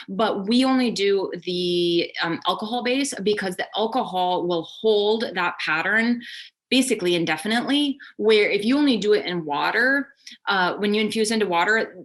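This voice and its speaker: A woman's voice: mid-range voice, fairly bright tone. A young adult woman, a company founder, giving a thorough step-by-step explanation as an interview guest.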